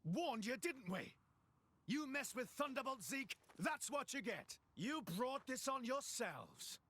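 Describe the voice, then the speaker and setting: Casting: boastful, jaunty male hero prince, very high animated voice, game drama. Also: takes it slowly, exaggerated voice. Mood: cocky, boastful. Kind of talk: dubbed video game cutscene acting.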